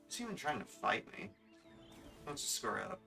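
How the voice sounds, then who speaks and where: Bright, forceful male game streamer over music. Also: fairly low pitch, sing-song, normal speed, creaky, distant mic. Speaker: livestreamer playing a video game. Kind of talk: casual gameplay commentary to chat. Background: video game music.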